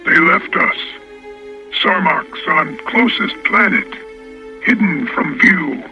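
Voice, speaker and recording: A man playing a cold, menacing Martian in a radio drama, speaking in clipped, halting, broken grammar. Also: fairly high pitch, lively intonation. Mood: cold judgment. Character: cold, menacing Martian passing judgment on humanity. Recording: dull, band-limited sound under music.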